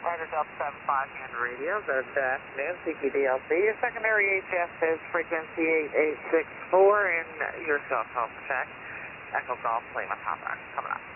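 A man with a slightly high voice talks as an air traffic radio operator in clipped aviation phraseology. He comes through a very narrow shortwave radio channel, with clear static hiss over everything. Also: lively intonation, brisk. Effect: HF radio transmission. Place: received over long-distance HF radio. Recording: narrow-band, hissy shortwave radio reception. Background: loud static hiss.

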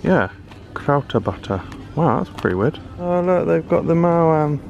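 A man's voice: a little high, big pitch swings, unhurried, gravelly, darkish tone, dull audio, slight background noise. A British man vlogging his shopping trip, chatting curiously about products on the shelves. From United Kingdom. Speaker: food vlogger. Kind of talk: casual running commentary while shopping. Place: large supermarket aisles, indoors.

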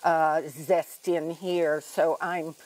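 A woman's voice: low-pitched, flat delivery, very slow, a little mellow. An older woman, a guest cook, chatting while she demonstrates a recipe in a TV studio kitchen, with many ums as she explains. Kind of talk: cooking demonstration chat.

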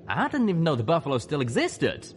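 A wary, folksy cowboy pegasus, as a male narrator plays him in a storybook tale. He is plain-spoken and animated, a little above the reader's natural pitch. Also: quick pace, slight background noise.

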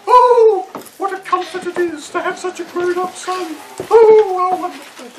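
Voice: very high voice, a little slow. A performer voicing a fretful, melodramatic mother in a children's puppet show, full of exclamations. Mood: gushing. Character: dramatic, fretful mother of a little boy. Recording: outdoor far camera, noisy background.

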